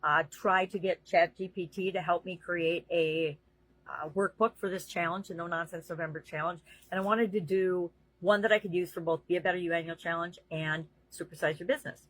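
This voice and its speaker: A middle-aged woman speaks to camera in her daily motivational vlog, earnest and chatty with plenty of fillers. Her voice sits fairly low with a husky edge, and the recording is dry with no room echo. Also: fairly even intonation, a little fast, fairly bright tone. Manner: earnest, conversational, with frequent fillers. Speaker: vlogger and business coach.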